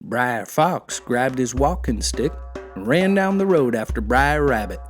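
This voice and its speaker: A man telling a folktale as an expressive, folksy scripted narration. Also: medium pitch, a little slow, faint music behind. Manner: folksy, animated. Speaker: storyteller.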